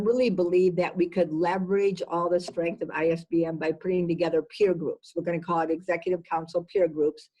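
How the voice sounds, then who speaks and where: A woman's voice: fairly low pitch, darkish tone, low-quality recording. A woman executive giving conversational interview answers, emphatic and repeating words for stress. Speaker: business executive being interviewed.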